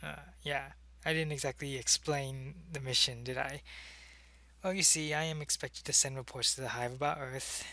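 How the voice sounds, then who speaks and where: Casting: male actor for secretive alien operative, ASMR roleplay. Medium-pitched, gravelly, earnest and hesitant as he explains himself, close dry sound. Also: steady tone, moderate pace, sharp tone, no echo. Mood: hesitant, confessing. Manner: hesitant, explanatory, intimate one-sided conversation. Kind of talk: ASMR roleplay, acted monologue to the listener.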